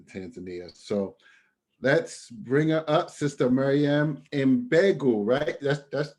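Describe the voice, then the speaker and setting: A man's voice: mid-range voice, slow, darkish tone. A man hosting an event and introducing the next speaker, friendly, with fillers. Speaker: host.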